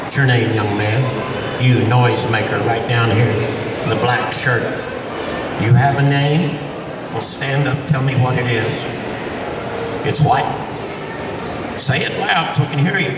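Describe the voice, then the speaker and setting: A man voicing a grumpy, stingy old miser as a live puppet act, gruffly scolding his audience in a big indoor mall. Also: mid-range voice, a little slow, long pauses, very noisy, close to an everyday voice. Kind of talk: live improvised puppet comedy. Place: large indoor shopping mall. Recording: echoey mall hall, far-off mic, loud crowd.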